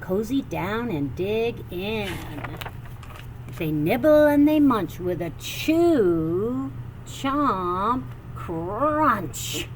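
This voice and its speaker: A woman reading a children's picture book aloud with animated, sing-song expression and drawn-out phrases. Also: medium pitch, slight background noise. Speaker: storybook reader.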